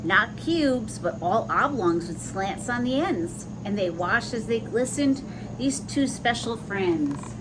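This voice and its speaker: A woman with a somewhat high voice reads a picture book to young children. She moves between the story text and plain little explanations of the pictures. The recording has noticeable noise behind her. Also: animated, slow pace. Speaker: host reading children's picture books aloud. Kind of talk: children's read-aloud with simple asides.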